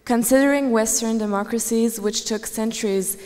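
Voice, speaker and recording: A woman's voice: on the high side, normal speed, darkish tone. A young woman from Afghanistan in a debate audience, earnestly reading her question from notes into a handheld mic. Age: young adult. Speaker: audience member asking a question. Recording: long hall reverb.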